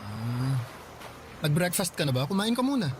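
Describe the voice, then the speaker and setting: A man's voice: a little high, big pitch swings, normal speed, slight background noise. An actor playing a kind, generous young male college student who speaks in an easygoing, caring way in a radio drama. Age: young adult.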